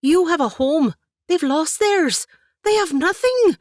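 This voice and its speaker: A woman's voice: fairly high pitch, big pitch swings, measured pace, no echo, clean studio sound, pitched above the performer's own voice. A woman voicing an earnest, stubborn young woman who speaks with rising passion. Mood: impassioned. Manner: emotional, raised voice.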